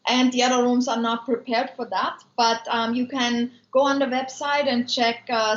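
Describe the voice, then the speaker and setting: A woman from Austria with an Austrian German accent, chatting loosely on a live stream, answering viewer comments with many ums. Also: on the high side. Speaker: food maker and business owner. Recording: echoey room, dull lo-fi sound.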